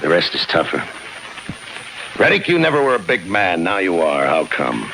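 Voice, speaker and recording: An American man playing a cool, relentless police lieutenant in a 1950s radio crime drama, delivering clipped, deadpan wisecracks. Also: sits low, normal speed, gravelly, full character voice. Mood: sardonic. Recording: noisy old 1950s radio broadcast.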